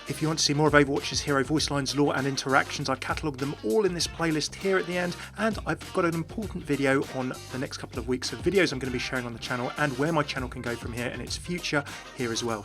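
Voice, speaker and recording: A man hosting a gaming channel, giving enthusiast commentary as he presents game voice clips. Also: mid-range voice. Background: background music.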